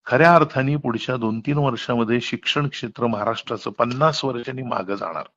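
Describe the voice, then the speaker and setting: A man's voice: mid-range voice, quick pace, a bit bright. Muffled phone call, emphatic man. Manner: emphatic, detailed, mixes in English words. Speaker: college principal. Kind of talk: earnest explanatory phone conversation.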